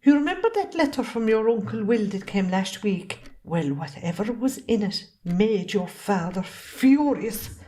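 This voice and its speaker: A woman's voice: mid-range voice, animated, a little slow, mellow, close to an everyday voice. A woman with an Irish accent playing a long-suffering, fiery middle-aged wife and mother in an amateur drama. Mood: fretful, weary. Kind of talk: amateur stage drama acting.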